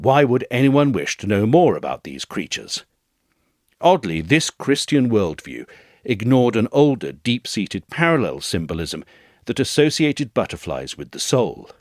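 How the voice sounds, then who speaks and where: Low-voiced man narrating with lively intonation. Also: dry recording, clean studio sound. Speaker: narrator reading an audio essay. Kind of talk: scripted essay narration.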